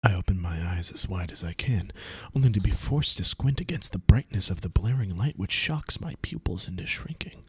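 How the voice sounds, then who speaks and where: A reader voicing a shot, dying bird who narrates in first person and keeps bursting into high squawks and clucks. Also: expressive, brisk, hardly any pauses, breathy edge, no echo, exaggerated voice. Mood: dazed. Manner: breaks into squawks and clucks mid-sentence. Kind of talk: reading a game's narration aloud.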